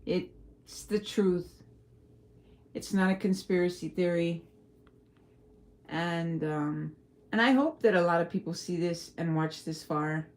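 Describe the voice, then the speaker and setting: A woman's voice: lowish voice, slow, long pauses, a little mellow. Blunt rambling Texan woman livestreaming. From El Paso, Texas. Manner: rambling, blunt, swears freely. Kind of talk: casual livestream chat. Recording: faint music behind.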